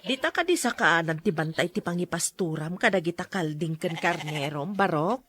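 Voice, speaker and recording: A woman playing a worried, scolding mother with a husky voice in a Filipino audio drama. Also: on the low side, lively intonation, moderate pace, a bit bright, slight background noise.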